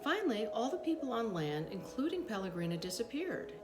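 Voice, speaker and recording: Animated woman reading a children's story aloud. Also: fairly high pitch, over music. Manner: animated, dramatic pauses, acts out dialogue. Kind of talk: expressive storytime read-aloud with brief asides.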